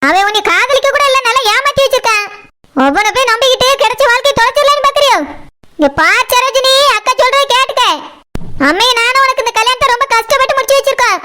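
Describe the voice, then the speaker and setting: A stern village elder sister from a cartoon, played by a woman, with a piercingly high and sharp voice. She lectures and threatens in a Kanyakumari Tamil accent, like someone who expects to be obeyed. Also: fast talker. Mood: angry scolding. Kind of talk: village comedy-drama cartoon dialogue.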